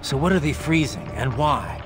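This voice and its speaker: A man voicing a grim, determined, righteous cyborg soldier with a gruff voice in a video game. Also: a little high, expressive, normal speed, lightly acted. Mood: tense. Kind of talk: dramatic video game voice acting. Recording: long reverb, music behind.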